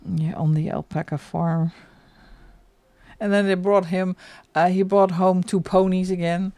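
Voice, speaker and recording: A woman's voice: on the low side, level tone, unhurried, dark tone, no echo. A middle-aged woman from the Netherlands with a Dutch accent, chatting casually and laughing often while she colours on a livestream. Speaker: livestream host.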